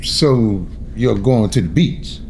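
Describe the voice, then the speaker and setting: A man acts out a friendly, curious trucker in a script reading. His pitch moves around a lot, and his speech is casual, halting and apologetic. There is some noise behind him. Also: animated, dark tone, poor audio. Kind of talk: screenplay table read.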